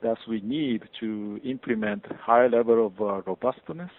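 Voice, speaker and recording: A man, a guest professor answering interview questions in a measured, formal way with frequent pauses. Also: on the low side. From Japan. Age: 50s. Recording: tinny phone-like line.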